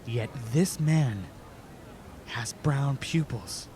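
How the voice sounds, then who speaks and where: A determined young swordsman hero played by a man in an audio drama, serious and intent. He speaks slowly at a medium pitch over clear background noise. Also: warm tone. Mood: intent realisation. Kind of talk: fan audio drama acting. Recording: clearly noisy amateur recording.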